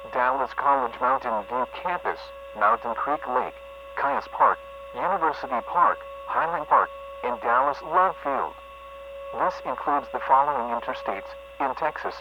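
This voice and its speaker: A man voicing a stern, formal weather-radio announcer, reading a scripted emergency alert in a measured, stilted way. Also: mid-range voice. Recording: muffled, noisy weather-radio audio with hum.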